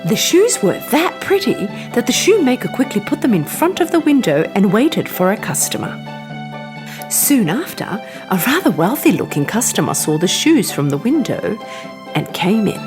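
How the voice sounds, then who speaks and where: A woman reading a scripted bedtime story for children in an expressive, animated storytelling manner. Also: mid-range voice, normal speed. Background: music.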